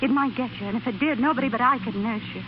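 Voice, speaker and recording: Actress as devoted wife, muffled old radio. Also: female voice, a little high, racing, noisy background, natural voice. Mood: pleading. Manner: earnest, emotional. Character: devoted, anxious, stubborn young wife. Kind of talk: 1930s radio acting. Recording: muffled 1930s radio recording with music.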